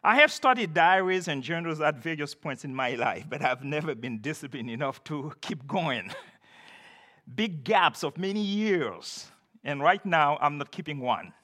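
Emphatic, lively-pitched preacher with French roots. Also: male voice. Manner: deliberate, emphatic preaching with occasional self-deprecating laughs. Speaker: pastor. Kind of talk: sermon.

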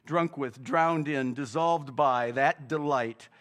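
A middle-aged man preaching a sermon, slow and deliberate, building to loud, fervent peaks before dropping back down. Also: mid-range voice. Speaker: pastor.

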